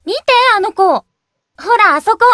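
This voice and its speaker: A chatty teenage schoolgirl in a Japanese visual novel. The voice is extremely high and races along, cooing and curious. The recording is dry and close. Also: lively intonation, fast, bright, forceful tone, no room sound, full character voice. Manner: gossipy, cooing.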